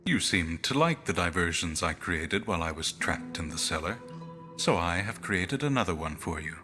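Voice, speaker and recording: A man voicing a calm, detached spirit of lost power in a visual novel, speaking in a measured, formal way. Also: low-pitched, music in the background. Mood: pleased, clinical. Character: calm clinical spirit of cast-away power, a killer.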